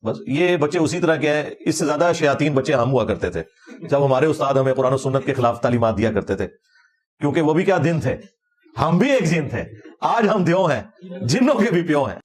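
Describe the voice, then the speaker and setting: Higher-pitched Pakistani Punjabi man, arguing informally. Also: lively intonation. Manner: argumentative, sarcastic, colloquial. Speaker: religious lecturer. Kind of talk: informal religious commentary and debate.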